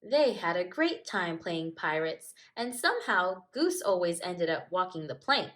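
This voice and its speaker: A woman teacher reading a children's storybook aloud with expressive narration. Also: lowish voice, measured pace.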